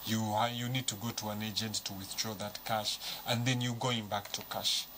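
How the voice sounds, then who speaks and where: A man with a Zambian accent speaking as a panel guest, measured and explanatory, walking through examples. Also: lowish voice, flat delivery. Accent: Zambian English. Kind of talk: studio panel discussion. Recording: noisy studio recording.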